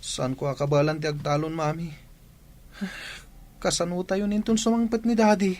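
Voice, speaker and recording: A man's voice: a little high, fairly even intonation, moderate pace, a little mellow, slight background noise, lightly acted. A man with an Ilocano Filipino accent playing a rueful, complaining young son in a radio drama. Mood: anxious. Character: young son, spendthrift now broke and rueful.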